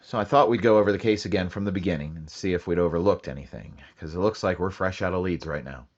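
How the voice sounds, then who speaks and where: An actor playing a terse police sergeant who narrates his case in a flat, matter-of-fact way, in 1940s radio acting style. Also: deep voice, quick pace, no echo, muffled recording. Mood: briefing.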